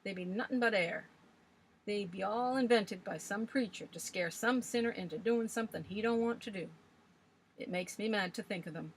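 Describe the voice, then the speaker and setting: Old village grump, loud and scornful, rustic grammar, read by a woman narrator. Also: mid-range voice, normal speed, slight background noise, lightly acted, poor audio. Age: older.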